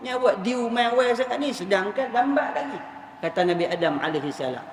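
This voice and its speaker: A man's voice: high voice, expressive, fairly quick. A man with a northern Malaysian Malay accent preaching as he retells religious stories in a colloquial, repetitive storytelling manner. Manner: colloquial storytelling, acting out dialogue, repeating phrases for emphasis. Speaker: Islamic preacher. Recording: echoey hall, distant mic, faint noise.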